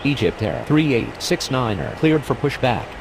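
A man's voice: medium pitch, animated, moderate pace, gravelly. A man reading scripted air traffic calls in a clipped, formal manner. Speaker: pilot and air traffic controller. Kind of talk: scripted radio phraseology. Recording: very loud engine-like ambient noise.

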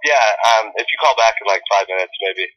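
A man's voice: fairly low pitch, fairly quick, muffled recording, a crowd in the background. A man working as a hotel front desk clerk, speaking politely and with amusement in a customer service call over the telephone.

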